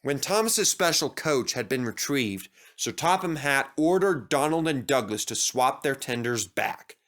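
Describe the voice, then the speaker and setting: A man's voice: mid-range voice, animated, a little slow, fairly bright tone. A man narrating a scripted children's story.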